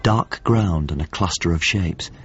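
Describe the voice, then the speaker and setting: A deep, gravelly, solemn narrator. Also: male voice, muffled recording, faint music behind. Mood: solemn, observant. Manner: measured, solemn, descriptive. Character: grave male narrator recalling paintings and past events. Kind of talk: literary radio drama narration.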